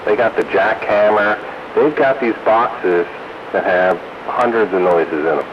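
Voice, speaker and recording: A man with a deep voice chats casually over a CB radio link. The sound is dull and narrow, with clear static and noise around his easygoing shop talk. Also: measured pace. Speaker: host of a CB radio hobbyist roundup. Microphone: CB radio microphone. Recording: noisy, dull CB radio transmission.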